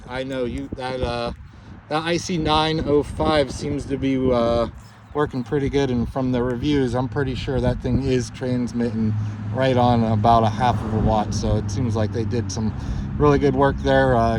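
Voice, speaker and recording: A man with a mid-pitched voice talks as an amateur radio operator in a casual, technical exchange. His delivery is halting, and he is recorded outdoors with clear street and vehicle ambience around him. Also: fairly even intonation, background noise. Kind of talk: casual ham radio contact, giving call signs and signal reports.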